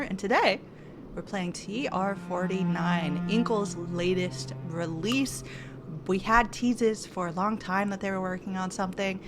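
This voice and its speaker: A woman hosting a game livestream, chatting casually with her viewers, full of fillers and restarts. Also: medium pitch. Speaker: streamer host. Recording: music playing behind. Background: background music.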